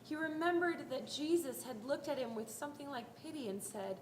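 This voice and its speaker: A woman's voice: high voice, lively intonation, brisk. A woman narrating a scripted dramatic reading of a Bible story. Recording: roomy echo, faint background noise.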